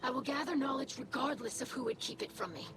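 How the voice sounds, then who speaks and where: A woman's voice: high-pitched, fast talker, lightly acted. A woman playing a young, proud alien scribe and daughter in a video game, speaking in a formal, precise, earnest way. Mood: proud, firm. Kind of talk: scripted video game dialogue. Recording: loud music behind the voice.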